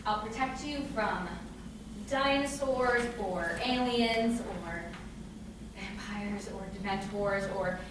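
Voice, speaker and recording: A woman's voice: mid-range voice, slow, lightly acted. Distant, echoey, coaxing young woman played by an actress. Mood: gentle, pleading. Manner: coaxing, reassuring, wry. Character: patient, caring young woman coaxing a frightened companion. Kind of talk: staged reading of a stage play. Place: live staged reading in a reverberant room. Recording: echoey room from far off, noisy.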